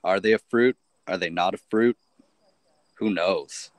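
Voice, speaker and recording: A man in a band, a guest on a podcast, answering in a relaxed, laid-back way. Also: low voice, measured pace, dry recording. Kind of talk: casual interview chat.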